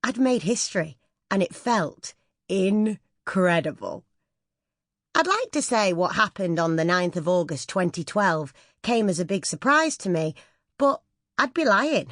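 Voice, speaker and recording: A woman's voice: mid-range voice, very animated, measured pace, a bit bright, no room sound, clean studio sound. A woman reading a scripted first-person memoir as an audiobook narrator.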